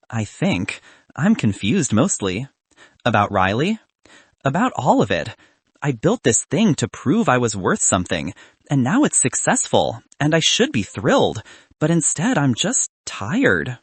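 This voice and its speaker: A male narrator plays an earnest young founder in an audiobook story. He is husky, reflective and self-doubting, with a wry plainness and a dry, close sound. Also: mid-range voice, wildly swooping pitch, measured pace, no room sound, full character voice. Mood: uncertain, tired.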